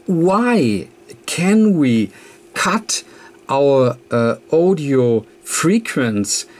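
Very slow, halting man explaining technical material. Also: mid-range voice, big pitch swings, a little mellow. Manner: very halting, hesitant delivery with frequent long filled pauses. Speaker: tutorial presenter. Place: indoors at an electronics workbench.

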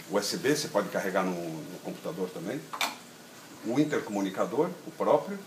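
A middle-aged man with a Brazilian accent giving an informal hands-on tutorial step by step, haltingly, with repeated words and little check-in tags. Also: on the low side. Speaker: product demonstrator. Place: outdoor patio table in a garden. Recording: roomy echo, steady ambient noise.

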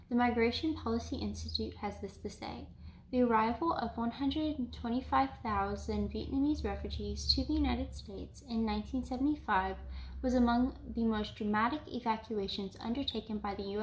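A young woman from South Louisiana hosting a home cooking show, explaining each step calmly and then narrating some food history. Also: on the high side, slight background noise. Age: young adult. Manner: calm, instructional. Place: home kitchen, indoors. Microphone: camera mic, across the kitchen. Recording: echoey kitchen room sound.

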